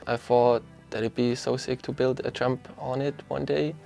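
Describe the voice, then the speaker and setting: A man's voice: medium pitch, little pitch movement, moderate pace, creaky, mellow, faint music behind. A man who organizes the ski event, speaking enthusiastically in a documentary interview.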